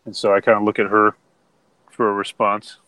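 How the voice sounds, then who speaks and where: A man's voice: lowish voice, little pitch movement, a little fast, pushed and bright, smooth. A man playing a tabletop game, casually describing his character's actions. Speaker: player. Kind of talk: casual chat.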